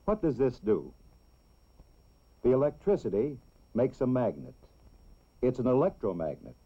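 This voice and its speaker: A man narrates a scripted educational film for children in a measured, clearly explanatory way. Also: mid-range voice, wildly swooping pitch. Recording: dull, lo-fi old film soundtrack.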